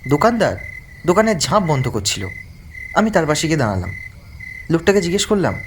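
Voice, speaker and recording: A man's voice: on the high side, sing-song, quick pace, slight background noise. A man narrating a first-person horror story from a script. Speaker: narrator of an animated ghost story.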